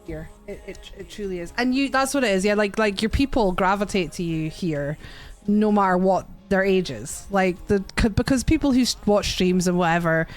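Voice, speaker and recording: A woman's voice: mid-range voice, normal speed. Chatty woman in her forties hosting a casual chat, faint music behind. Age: 40s. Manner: chatty, laughs often, swears casually. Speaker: podcast host and streamer.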